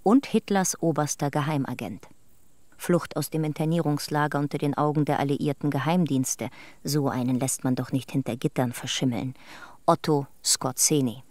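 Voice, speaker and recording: A man's voice: fairly high pitch, fairly even intonation, normal speed, dry recording, close to an everyday voice. A man acting as a terse narrator in a radio play, reading clipped, factual documentary-style notes. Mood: factual, wry.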